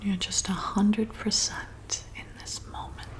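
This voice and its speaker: Seductive female vampire bartender, acted by a woman for ASMR roleplay: whispering, very slow, soothing and slyly predatory. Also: medium pitch, fairly even intonation, close to an everyday voice. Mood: hypnotic, soothing. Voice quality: breathy whisper with creaky edges. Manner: slow, soothing, intimate, coaxing.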